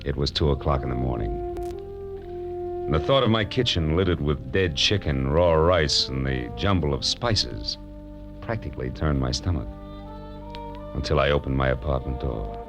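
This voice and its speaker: An American actor playing a wry, hard-boiled private detective, narrating deliberately and dramatically in a 1940s radio drama. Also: male voice, very deep voice, lively intonation, gravelly, heavily acted. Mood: savoring, wry. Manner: dramatic, deliberate storytelling with pointed pauses. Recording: vintage 1940s radio recording with music.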